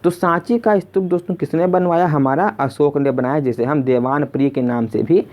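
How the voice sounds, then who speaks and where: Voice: medium pitch, moderate pace, a little mellow. A tutor narrating a question-and-answer exam lesson, repeating questions and addressing listeners as friends. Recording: dull, lo-fi sound.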